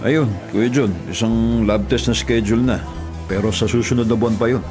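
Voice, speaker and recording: A man's voice: mid-range voice, steady tone, normal speed, natural voice. Man acting weary, earnest young Filipino delivery rider. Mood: resigned, earnest. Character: weary, devoted young male delivery rider, elder brother. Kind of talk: Tagalog radio drama acting. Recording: music bed behind the voice.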